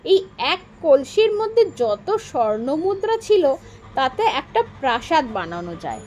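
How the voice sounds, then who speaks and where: A woman narrating a short story as a storyteller, in an expressive, dramatic audiobook style. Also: high-pitched, a little slow.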